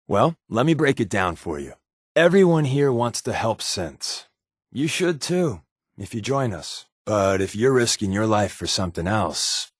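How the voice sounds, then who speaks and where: Wry american undercover agent sidekick from a video game, jokey casual patter, really animated pitch, dry recording. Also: male voice, no room sound. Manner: glib, wisecracking, casual patter. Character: wry, sarcastic undercover resistance agent and companion. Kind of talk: video game companion dialogue.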